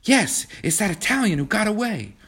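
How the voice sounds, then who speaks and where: A man voicing an excitable, naive, spoiled young woman in a breathless, theatrical storybook manner. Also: medium pitch, normal speed, slightly rough, pitched above the performer's own voice, heavily acted. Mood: excited.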